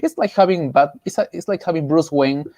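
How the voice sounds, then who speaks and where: A man from Peru with a Peruvian Spanish accent, giving casual opinions as a panelist in an emphatic, halting way, often repeating phrases. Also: fairly high pitch, dark tone, no room sound. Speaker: panelist, artist.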